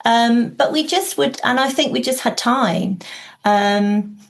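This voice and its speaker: A woman's voice: fairly high pitch, takes it slowly. A woman in her forties from Wales, speaking as a podcast guest, talking reflectively with many fillers and restarts. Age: 40s. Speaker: podcast guest, outdoor learning specialist. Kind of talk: casual conversation.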